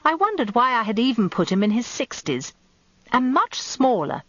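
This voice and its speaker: An actress playing an older English barrister's wife, a wry, observant storyteller recounting events in a radio drama. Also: female voice, a little high, sing-song, normal speed, a bit bright, no room sound, dull audio. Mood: wry, reflective.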